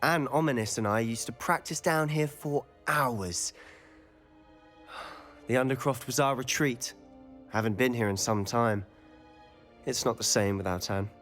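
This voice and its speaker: An actor playing a charming teenage wizard schoolboy in a game, speaking in a lively, curious, confiding manner. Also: male voice, mid-range voice, unhurried, pauses often, soft background music, close to an everyday voice.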